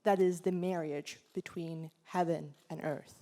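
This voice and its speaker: A woman who grew up in northern Italy introducing herself, calm and reflective. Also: fairly low pitch, measured pace. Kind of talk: personal introduction at a conference.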